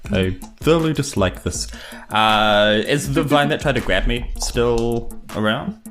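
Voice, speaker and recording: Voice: medium pitch, measured pace, a bit bright. A person with a New Zealand accent chatting playfully and dramatically as a player in a tabletop game. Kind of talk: casual game chat. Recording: music bed behind voice.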